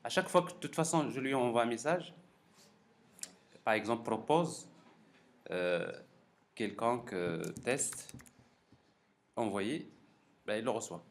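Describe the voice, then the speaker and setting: A man giving a live technical software lecture as a professor, halting and repeating words as he thinks aloud. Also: medium pitch. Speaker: university professor teaching a class. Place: classroom during a live course session. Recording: clearly noisy classroom recording.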